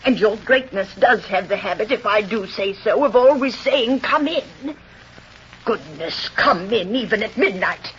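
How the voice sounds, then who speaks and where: An actress in an old radio drama playing an anxious, fussy, deferential housekeeper who speaks in fretful exclamations. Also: female voice, medium pitch, lively intonation, measured pace, fairly bright tone. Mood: scolding, alarmed. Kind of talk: 1930s-40s radio drama acting. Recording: dull, noisy old radio recording.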